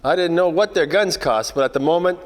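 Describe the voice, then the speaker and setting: A man playing a wisecracking, world-weary 1940s private detective, narrating a radio noir parody in deadpan hard-boiled style. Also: fairly high pitch, brisk, in a large hall, lightly acted. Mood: brooding, wry.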